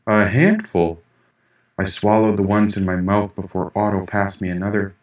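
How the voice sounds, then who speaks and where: A man reading first-person fiction as an audiobook narrator, in an expressive, dramatic storytelling manner. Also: low voice, a little fast. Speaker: author reading own audiobook. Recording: echoey room, distant mic.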